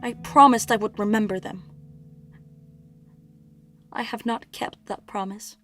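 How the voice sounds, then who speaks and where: A woman's voice: medium pitch, steady tone, a little slow, music in the background, natural voice. A woman playing an anguished, haunted young princess of Greek myth in a theatrical audio play. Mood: haunted, brooding.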